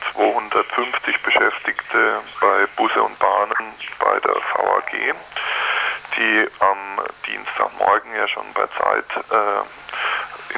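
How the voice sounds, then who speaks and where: Bright, creaky man on a telephone line. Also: fairly low pitch. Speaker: trade union official. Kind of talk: telephone interview answers. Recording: noisy, sharp telephone line.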